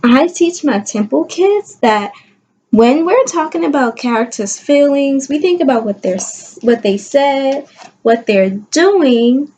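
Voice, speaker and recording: High-pitched woman teaching young children, unhurried. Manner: expressive, coaxing with frequent questions to listeners. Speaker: kindergarten teacher. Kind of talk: read-aloud lesson for young children.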